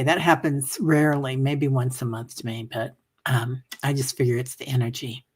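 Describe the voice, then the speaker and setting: Husky, very low-voiced woman chatting. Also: a little mellow. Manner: chatty, rambling, many fillers. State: coughing, a bit hoarse. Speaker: livestream meditation host. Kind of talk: casual chat reading viewer comments. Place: home livestream.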